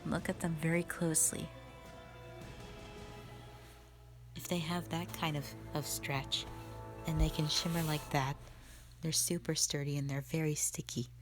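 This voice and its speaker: A woman playing a grave, wary elder witch sister in a dramatic audio roleplay, speaking solemnly with some hesitation and hushed warnings. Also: low voice. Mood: cautioning.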